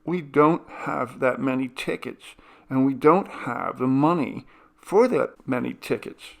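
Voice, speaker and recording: A man voicing a practical, careful teenage girl in a story reading, measured and matter-of-fact. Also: medium pitch, gravelly, pitched above the performer's own voice. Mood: firm, practical. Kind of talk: storybook character voice.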